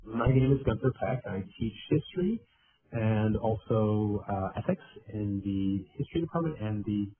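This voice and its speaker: A man's voice: fairly low pitch, expressive, normal speed. A man who teaches history, introducing himself in a thoughtful way with many fillers. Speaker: history professor. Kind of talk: interview self-introduction. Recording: tinny phone line with faint noise.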